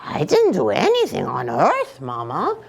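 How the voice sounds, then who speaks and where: An excitable, boastful kitten boy from a picture book, as a woman reads him aloud. The voice is dramatic and sing-song, with big swoops in pitch and a drawn-out pace. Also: gravelly, husky, exaggerated voice. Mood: defiant, proud.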